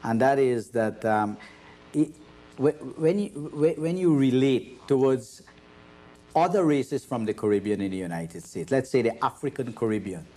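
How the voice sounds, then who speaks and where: A man's voice: mid-range voice, measured pace, mellow. A man hosting a panel discussion, asking long, probing questions and often restarting his sentences. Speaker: host and moderator.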